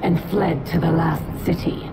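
Slow, bitter alien warlord. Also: female voice, low-pitched, steady tone, very noisy. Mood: bitter, defiant. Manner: grave, deliberate, scornful. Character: bitter, defiant female alien pirate warlord. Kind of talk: dramatic cinematic narration. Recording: loud music over the voice.

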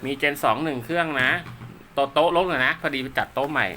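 A man's voice: on the high side, fairly even intonation, fast, sharp tone, slight background noise. A man giving casual, polite, rambling hands-on commentary as a technician showing gear he is setting up, half talking to himself as he works. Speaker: radio technician and seller presenting his work.

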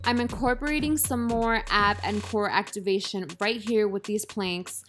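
A woman's voice: medium pitch, normal speed, fairly bright tone. A young woman narrating her workout video in a casual, self-deprecating, upbeat voice-over. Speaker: fitness vlogger. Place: voice-over, not recorded in the gym. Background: music.

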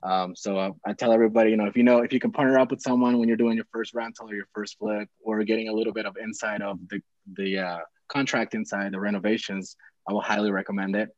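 A young man from Peru, speaking low and easy, tells a story in loose, rambling runs with lots of fillers and restarts. His voice comes through earbuds on a video call, with the dull, muffled tone of call audio. The sound is dry and close, with no room echo. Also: little pitch movement, quick pace. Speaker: real estate investor guest. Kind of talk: casual video call chat. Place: small home room indoors.

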